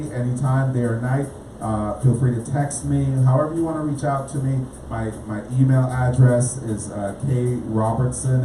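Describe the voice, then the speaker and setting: A Guyanese-born lawyer, a man, guesting on a radio talk show, speaking haltingly with many repeated words. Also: mid-range voice. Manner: halting, repeats words, spells things out slowly. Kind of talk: casual radio interview. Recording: echoey room, mic far off, noisy.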